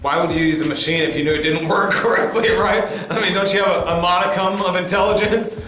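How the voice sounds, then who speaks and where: A man's voice: high-pitched, steady tone, fast, lightly acted. A man playing an indignant protester in a comedy sketch, complaining and challenging the company. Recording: very echoey room, loud music.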